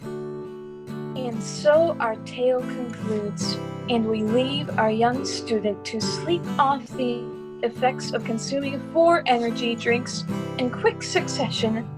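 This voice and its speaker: A woman playing a storybook narrator, giving measured scripted narration in a radio play. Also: a little high. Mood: calm. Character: storybook narrator closing the tale. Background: loud guitar music.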